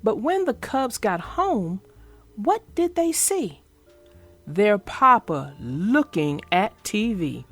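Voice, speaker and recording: A woman reading a children's book aloud in an animated, expressive, sing-song way. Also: mid-range voice, slow, faint music behind. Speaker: storyteller.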